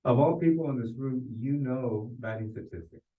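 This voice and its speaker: A man's voice: medium pitch, moderate pace. A man telling anecdotes in a group coaching discussion, conversational, restarting his sentences and often checking for agreement. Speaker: real estate sales coach. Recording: distant, echoey, thin phone-like sound.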